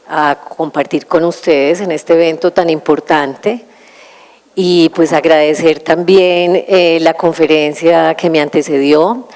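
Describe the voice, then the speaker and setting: A woman from Colombia, giving a measured, explanatory conference lecture with frequent hesitations. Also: sits low, darkish tone. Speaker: invited lecturer.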